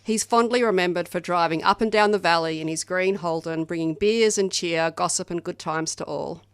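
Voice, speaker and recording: A woman's voice: on the low side, moderate pace, clear, resonant tone, dry recording. An Australian woman reading scripted memorial tributes for a podcast.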